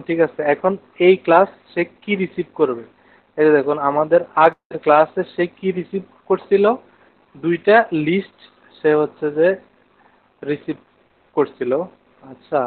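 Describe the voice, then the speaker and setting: A man's voice: fairly high pitch, a little hiss or hum. A man with a Bangladeshi accent teaching a live online coding lesson step by step, mixing in English technical terms. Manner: explains step by step, asking questions of students. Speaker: programming instructor. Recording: narrow phone-like online class audio.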